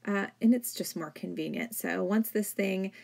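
A woman's voice: mid-range voice, moderate pace, creaky, darkish tone. A woman in her thirties, a home vlogger, narrating casually over her cleaning footage, chatty and full of "like". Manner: chatty, filler-heavy with frequent "like". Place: home, indoors.

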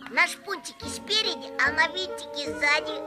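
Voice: sits high, moderate pace, natural voice. An actor playing a cheeky, boastful little boy in a cartoon, bragging in a childlike way. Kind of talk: animated cartoon acting. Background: music.

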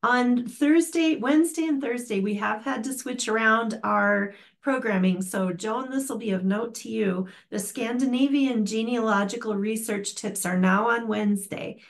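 A woman's voice: fairly high pitch, moderate pace, few pauses. An older woman hosting an online class, making friendly, chatty announcements over a video call from a home office. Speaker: program host.

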